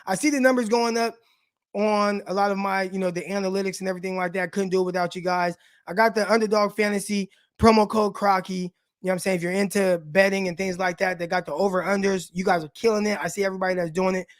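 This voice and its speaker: Sports stream host, male, raspy, slangy and direct, pitched high, close dry mic. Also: steady tone, fast, no room sound. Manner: loose, slangy, addresses viewers directly. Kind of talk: casual live-stream sports talk.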